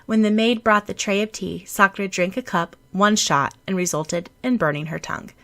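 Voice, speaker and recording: A woman narrates a story for an audiobook. She reads from a script in a voice of middling pitch. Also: normal speed, a bit bright.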